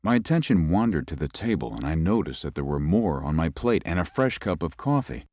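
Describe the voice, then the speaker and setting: An actor playing a young lawyer who narrates a ghost story in a measured way. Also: male voice, sits low, big pitch swings, darkish tone, no echo. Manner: measured, tells the story with rising unease. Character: young male lawyer recuperating in the country. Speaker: narrator and lead.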